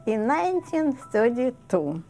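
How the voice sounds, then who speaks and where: Old russian-born woman, slow and halting, mellow fairly high voice. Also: very animated, faint music behind. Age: 80s. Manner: halting, uses non-native grammar. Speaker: Holocaust survivor, interviewee. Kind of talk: testimony interview.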